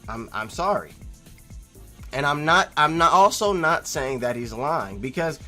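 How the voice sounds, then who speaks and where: A man's voice: a little high, animated, unhurried. Emphatic man in casual commentary over music. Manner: emphatic, repeats phrases for stress, swings from loud insistence to quiet asides. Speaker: video host replying to a viewer comment. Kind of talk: casual opinionated commentary to camera.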